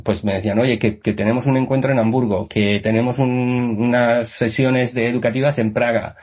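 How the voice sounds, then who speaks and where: A man's voice: mid-range voice, fairly even intonation, a little fast, husky, highs cut off. A man telling stories as a radio guest over a video call, hesitating and restarting often. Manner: hesitant, many restarts and fillers. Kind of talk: casual storytelling interview.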